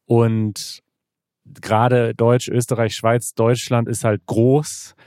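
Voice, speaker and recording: A man's voice: mid-range voice, little pitch movement, slow, darkish tone, dry recording, clean studio sound. A man from Germany with a standard German accent, hosting a podcast in a casual, conversational interview style. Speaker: podcast host.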